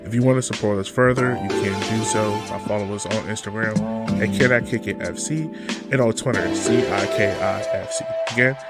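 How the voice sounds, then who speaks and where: A man's voice: on the low side, fairly quick, loud music behind the voice. A man speaking casually as the host of a podcast.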